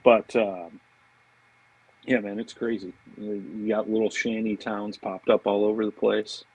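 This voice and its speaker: A man's voice: fairly low pitch, little pitch movement, a little slow, darkish tone, breathy edge, no room sound, rough recording. A man chatting casually and telling informal stories as a podcast guest. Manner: informal, anecdotal, peppered with "man" and "you know". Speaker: podcast guest, fishing marketing director. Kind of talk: casual chat.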